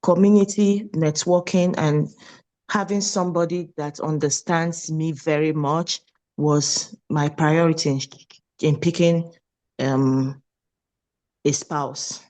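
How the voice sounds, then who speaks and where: A Nigerian woman in her late thirties, a nurse, talking with a lively, preachy energy and repeating her points in a casual interview. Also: low voice, slow. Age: 30s. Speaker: nurse and guest.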